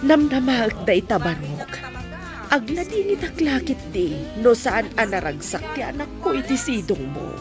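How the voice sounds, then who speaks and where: A woman's voice: a little high, big pitch swings, unhurried, music in the background. A woman playing a caring, anxious mother in an Ilocano radio drama. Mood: tender. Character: caring mother anxious for her married daughter.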